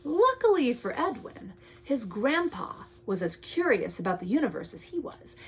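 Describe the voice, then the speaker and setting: A woman reads a picture book aloud to a young child in drawn-out phrases, often dropping to a hushed tone and adding chatty asides. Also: sits high, sing-song, slightly rough, poor audio. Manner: addresses the child with questions and pointers. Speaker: storyteller reading to a young child.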